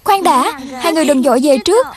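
A woman dubbing a kind, sensitive young village girl in a cartoon, earnest and expressive, with a Southern Vietnamese accent. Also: high-pitched, fast talker, crowd noise. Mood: friendly.

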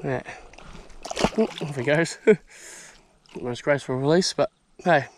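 A young New Zealand man talks his way through a fishing trip, voice sitting a touch high, slangy and quick to get excited. He is recorded outdoors on a body-mounted action camera, with faint background noise under his voice. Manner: excitable, slangy running commentary while fishing. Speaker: fishing vlogger. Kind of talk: casual outdoor vlog commentary. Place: outdoors by a high country pond.

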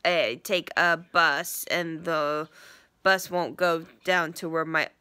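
A woman's voice: low voice, steady tone, slow, bright, forceful tone, clear, resonant tone. Stuffy-nosed young woman rambling on a phone. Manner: rambling, many ums and restarts. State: stuffy, congested from a sinus infection. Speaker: vlogger. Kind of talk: casual vlog update. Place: small living room indoors, on a couch. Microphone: phone front camera at arm's length.

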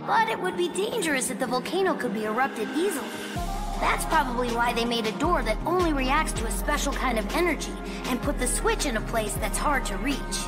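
A woman voicing an earnest, brave young boy fox inventor in a video game, explaining things with determination. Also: high-pitched.

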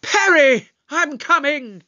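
Brisk, authoritative space detective in an audio drama. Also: mid-range voice, animated, a bit bright. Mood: urgent, shouting.

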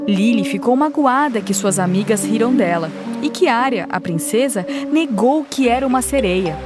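A woman narrates a children's cartoon fairy tale in a steady, expressive storytelling manner. Her voice sits a bit high. Background music runs throughout. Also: normal speed.

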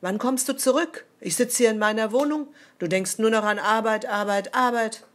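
A woman's voice: on the high side, moderate pace, close to an everyday voice. A woman playing a wife who pleads with and reproaches her absent husband in a radio drama. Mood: pleading. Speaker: voice actor.

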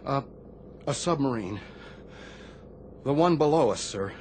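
An actor playing a guilt-ridden, anguished middle-aged Navy sailor in a radio drama, confessing haltingly to his superior. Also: mid-range voice, animated, darkish tone, a little hiss or hum, natural voice. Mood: haunted, subdued. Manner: halting, confessional, respectful of rank.

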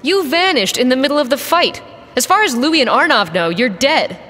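A woman's voice: high voice, lively intonation, moderate pace, pushed and bright, big-room sound, exaggerated voice. A woman playing a guarded, blunt, loyal mercenary pilot in an audio drama, with a dry manner. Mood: stern.

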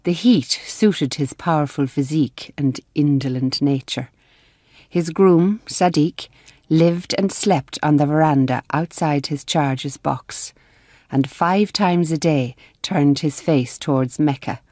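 A woman playing an observant Mother Superior who narrates a radio drama in a measured, literary manner. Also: low-pitched, a little mellow, dry recording, clean studio sound. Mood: composed, descriptive. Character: composed, observant Mother Superior telling the story.

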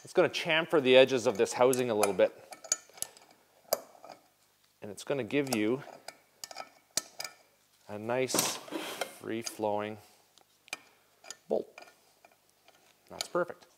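A man's voice: medium pitch, takes it slowly, long pauses, noisy background. A man in his forties runs a casual how-to demo in an indoor garage workshop. He talks straight to the viewers in an upbeat, easygoing way, recorded on a lapel mic. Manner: upbeat, direct, talks to viewers as "you guys". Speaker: show host demonstrating snowmobile parts.

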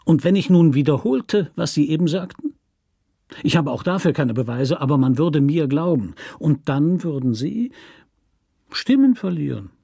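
A man's voice: a little high, sing-song, moderate pace, gravelly, dark tone, slightly rough, dry recording, clean studio sound, pitched above the performer's own voice, exaggerated voice. A man voicing a principled, determined lawyer in an audiobook story, earnest and insistent. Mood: challenging.

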